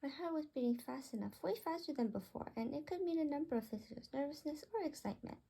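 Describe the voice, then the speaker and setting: A woman reading her own story aloud in an expressive storybook style with a soft, husky voice. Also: high voice, fast talker.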